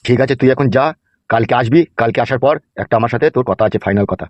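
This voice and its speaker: A man's voice: mid-range voice, fast talker, no echo, highs cut off, lightly acted. A man playing a scheming, ruthless village gang boss in a cartoon, with a blunt, commanding manner. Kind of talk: comic Bengali cartoon dialogue.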